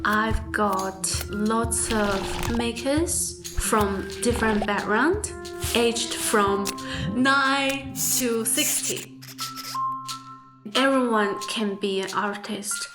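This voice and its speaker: A woman speaks very slowly in a slightly high voice. She talks reflectively, in documentary interview style. The recording is roomy and echoey. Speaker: clay artist, workshop leader. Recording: roomy echo. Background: music.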